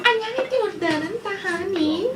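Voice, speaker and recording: A young waitress and bossy girlfriend. Her high voice is sweet but nagging, with an Ilocano accent and a radio drama style. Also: animated, normal speed.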